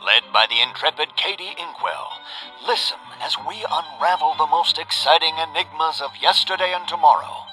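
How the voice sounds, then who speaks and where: A man's voice: fairly high pitch, moderate pace, pushed and bright, faint music behind, rough recording. A man playing a grandiose, theatrical announcer introducing an old-time pulp radio serial with suspense. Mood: grand, suspenseful.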